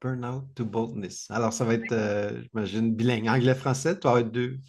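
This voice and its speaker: A man with a Quebec French accent hosting a casual interview, speaking haltingly and repeating words. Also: mid-range voice, level tone, a little mellow. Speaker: interview host.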